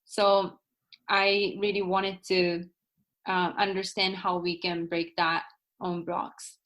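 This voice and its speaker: A woman's voice: lowish voice, little pitch movement, takes it slowly, a bit bright. A young woman from Japan with a Japanese accent, a guest author talking casually in an interview, full of "like" and restarts. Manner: many fillers like "like" and restarts.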